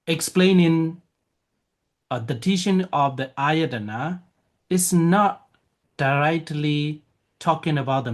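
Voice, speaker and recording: Slow halting Burmese-born monk teaching. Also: male voice, fairly high pitch. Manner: halting, many fillers and restarts, laughs easily. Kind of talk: Dharma lecture with questions.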